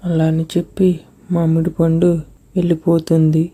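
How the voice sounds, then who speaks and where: A woman's voice: deep voice, monotone, normal speed, dark tone. A woman narrating a scripted moral story for children.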